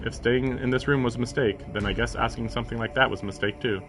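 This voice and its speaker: A man's voice: medium pitch, steady tone, quick pace. Man streaming a visual novel, music behind. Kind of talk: reading game narration aloud with casual commentary. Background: game music.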